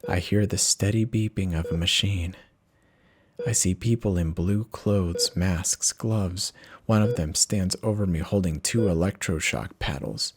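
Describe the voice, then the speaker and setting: A man giving a theatrical, expressive dramatic reading of a first-person horror story, with dramatic pauses. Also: deep voice. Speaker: narrator of horror stories.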